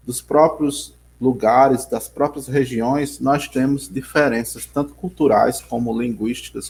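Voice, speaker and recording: A man's voice: medium pitch, creaky, darkish tone, slight background noise, low-quality recording. Man, geography teacher, Rio Grande do Norte accent, meandering, lots of restarts, video call mic. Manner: rambling, restarts often, addresses students by name. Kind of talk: casual online class. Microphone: computer mic on video call.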